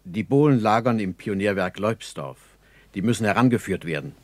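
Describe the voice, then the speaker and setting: An actor playing a practical, doubtful German engineer captain in a 1960s radio play, reporting in a matter-of-fact soldierly way. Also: male voice, lowish voice, lively intonation, moderate pace, no room sound.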